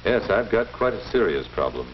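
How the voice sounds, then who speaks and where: An actor playing a calm, firm middle-aged school principal in a 1940s educational film, speaking formally and in an avuncular, measured way. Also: male voice, lowish voice. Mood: calm, earnest. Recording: muffled, noisy old 1940s film soundtrack.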